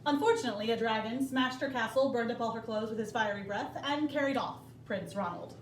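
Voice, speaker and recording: Female storyteller: high voice, expressive bedtime narration, slightly distant in a roomy space. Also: fairly quick. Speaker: storyteller reading to children. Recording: roomy, distant, noisy home recording.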